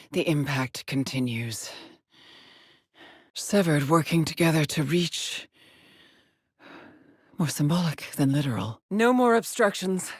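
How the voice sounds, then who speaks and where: An actress voicing a weary, driven middle-aged woman exploring space in a video game, with a husky, clipped and solemn delivery. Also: deep voice, no room sound, clean studio sound. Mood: haunted, brooding. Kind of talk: dramatic game voice lines, terse log entries.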